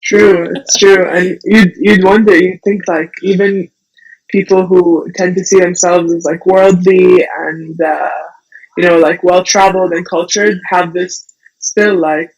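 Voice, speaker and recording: A woman's voice: on the low side, rough recording. A woman in her twenties from Kuwait, talking casually and filling her speech with "like". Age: 20s. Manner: casual, fills with "like". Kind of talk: casual conversation.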